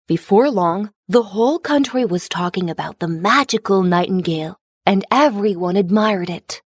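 A woman's voice: medium pitch, expressive, normal speed, husky, dry recording. Woman narrating a fairy tale, close and dry. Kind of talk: scripted children's storybook narration.

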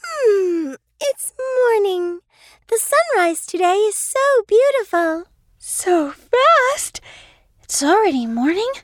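Excitable, very high-pitched little girl. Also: slow, no echo, studio quality, heavily acted. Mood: delighted. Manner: bright-eyed, excitable, exclamatory. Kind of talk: children's storybook audio drama.

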